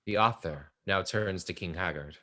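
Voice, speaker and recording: A man's voice: sits low, moderate pace, pushed and bright. A man narrating stage directions from a script for a play reading.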